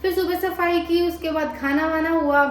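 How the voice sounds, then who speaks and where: A woman's voice: very high voice, fairly even intonation, moderate pace, echoey room, slight background noise. A woman vlogging about her day in a chatty, easygoing way. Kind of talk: vlog narration.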